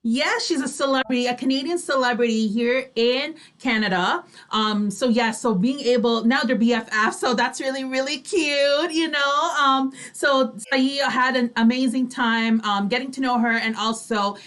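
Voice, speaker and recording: A woman's voice: high voice, normal speed, few pauses, fairly bright tone. A woman co-hosting a casual radio show, chatty and often tagging sentences with "you know" and "right".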